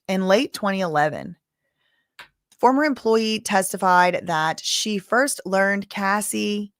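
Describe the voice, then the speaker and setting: A woman's voice: mid-range voice, no echo, studio quality. A woman hosting a casual livestream, recapping court testimony, reading the chat and reacting with exclamations. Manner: chatty, reads chat, gasps and exclaims. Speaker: livestream host recapping a trial.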